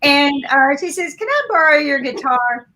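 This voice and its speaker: A woman panelist telling a story in a lively, chatty way, laughing as she goes. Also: high-pitched, normal speed, rough recording. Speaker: panelist, music producer. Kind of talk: casual storytelling.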